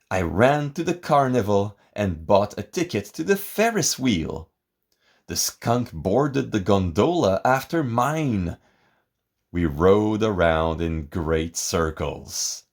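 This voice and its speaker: Male storybook reader, lower-pitched, dramatic and expressive, with sweeping intonation. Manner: theatrical, expressive, deliberate. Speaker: picture book reader. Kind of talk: dramatic scripted storybook narration.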